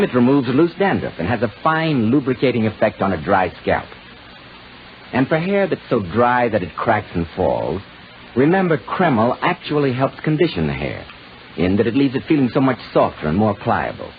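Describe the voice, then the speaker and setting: A male announcer delivering a polished, emphatic 1940s radio commercial, heard on a muffled, noisy old recording. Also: mid-range voice. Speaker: radio announcer and sponsor pitchman.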